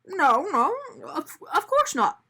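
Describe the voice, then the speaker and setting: A woman's voice: sits high, big pitch swings, slow pace, pushed and bright, pitched above the performer's own voice, exaggerated voice. A woman voicing a flustered, sheepish man in a children's storybook, hesitant and stammering. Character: flustered, sheepish man caught out by his doughnut.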